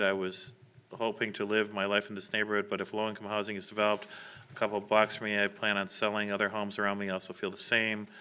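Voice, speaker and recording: A man chairing a public meeting in an informal, halting way, reading out names and written comments. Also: on the low side, bright, forceful tone, clean tone. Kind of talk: running a public meeting, reading emails aloud. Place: council chamber, public meeting.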